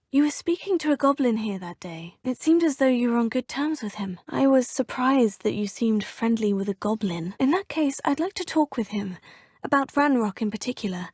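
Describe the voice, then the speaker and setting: A woman's voice: on the high side, a little mellow, slightly rough, dry recording, studio quality. A woman voicing a young witch student in a video game, speaking in a polite, formal and inquisitive way. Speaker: player character in a video game.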